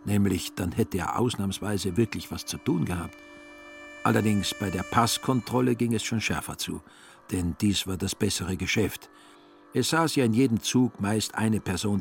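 Low-voiced man narrating. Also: creaky. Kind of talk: literary radio play narration, acting out short quotes.

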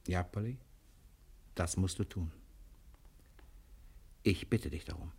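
An actor playing a determined, persuasive adventurous husband in a German television drama. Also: male voice, deep voice, takes it slowly, creaky, dark tone, husky, no echo, full character voice. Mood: earnest, coaxing.

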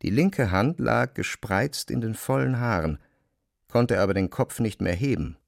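A male narrator tells a literary story in a low, creaky voice. His intonation swings expressively, and the recording is close and dry. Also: measured pace, no room sound.

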